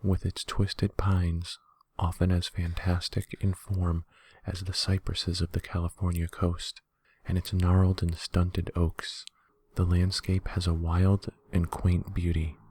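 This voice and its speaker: A man reading a horror story as a narrator in a quiet, measured, soft-spoken ASMR style. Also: low voice, completely flat delivery, slightly rough, no echo. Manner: quiet, measured, hushed delivery.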